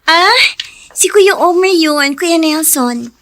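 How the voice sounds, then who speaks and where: An actress playing a gentle, innocent young sister with an accepting manner, in a radio drama. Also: female voice, extremely high pitch, expressive, slow, a little hiss or hum, heavily acted. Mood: hesitant, mild.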